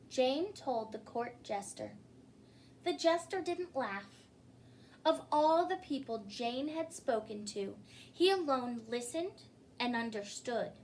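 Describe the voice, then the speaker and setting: A woman reading a children's picture book aloud as a story-time host, in an expressive manner. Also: high voice. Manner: expressive, pausing to ask listeners questions. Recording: clearly noisy recording.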